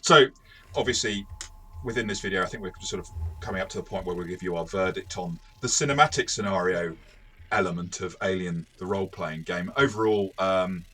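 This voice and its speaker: A man's voice: deep voice, brisk, sharp tone, slightly rough. A man co-hosting a casual, analytical game review, explaining things in a hesitant way and often rephrasing mid-sentence.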